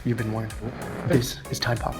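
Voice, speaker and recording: A man's voice: mid-range voice, little pitch movement, moderate pace, loud music behind the voice, close to an everyday voice. A man playing a grave time traveler who delivers a scripted warning about the future in a podcast intro. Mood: ominous warning.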